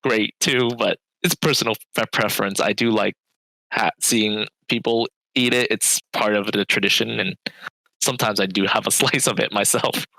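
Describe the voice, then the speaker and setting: A man with a fairly low but bright, forceful voice co-hosts a podcast. He speaks earnestly and laughs lightly between phrases. The recording is dry and close. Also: fairly even intonation, normal speed, gravelly, no room sound, rough recording. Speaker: podcast host. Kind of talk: casual podcast chat.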